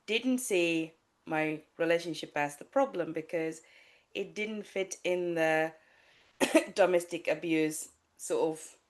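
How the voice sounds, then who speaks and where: A woman from Sri Lanka, a life coach, telling her personal story candidly in a podcast interview, with many restarts and fillers. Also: sits low. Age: adult with a child.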